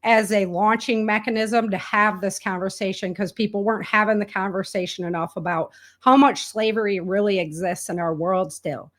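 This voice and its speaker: A woman's voice: medium pitch, fairly even intonation, moderate pace, hardly any pauses. Enthusiastic, chatty woman guest. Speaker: interview guest, indie author and podcaster. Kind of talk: casual conversational interview.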